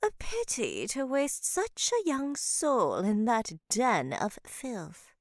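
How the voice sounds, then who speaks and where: Old forest witch, female actor, sly and motherly, wildly swooping intonation, unhurried, visual novel. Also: a little high, wildly swooping pitch, no echo, clean studio sound, exaggerated voice. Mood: sly teasing. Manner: theatrical, teasing, drawn-out endearments.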